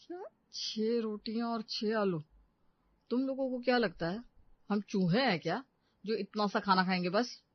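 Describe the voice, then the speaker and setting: A woman's voice: medium pitch, a little slow, dry recording, lo-fi sound, close to an everyday voice. A woman voicing an exasperated young husband in a cartoon family comedy, scolding and complaining. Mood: incredulous, grumbling. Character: grumbling, exasperated young husband and elder brother.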